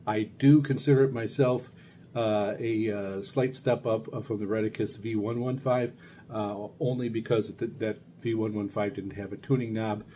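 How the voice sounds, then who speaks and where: A middle-aged man giving a casual, unscripted product review in a halting, rambling way. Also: on the low side. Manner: halting, rambling, hesitant delivery. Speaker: radio hobbyist reviewer, show host. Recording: clear steady background noise.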